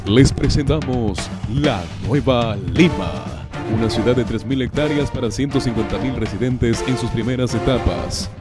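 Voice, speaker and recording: A man reading a scripted commercial voice-over with punchy sales delivery. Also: medium pitch. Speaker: ad announcer. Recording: voice-over over loud music.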